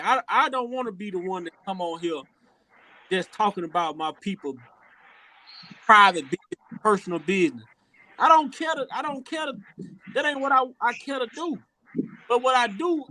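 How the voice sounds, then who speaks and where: A man with a strikingly high voice hosts a casual opinion show. His tone is bright and forceful, and he talks earnestly, circling back on his words as he builds each point. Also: low-quality recording. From rural Louisiana, USA. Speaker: podcast host.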